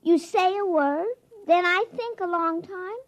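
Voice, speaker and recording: A woman's voice: very high-pitched, unhurried. A woman playing a precocious, blunt three-year-old girl in a 1950s radio drama, childlike and insistent. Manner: childlike, matter-of-fact, insistent. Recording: muffled vintage radio recording.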